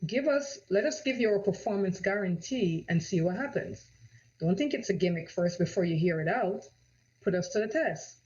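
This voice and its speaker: Jamaican woman giving a persuasive sales pitch. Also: expressive, normal speed, darkish tone, a little hiss or hum. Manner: persuasive, rhetorical questions, repeats "right?". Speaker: real estate agent. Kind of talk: direct-to-camera sales pitch. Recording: dull lo-fi audio.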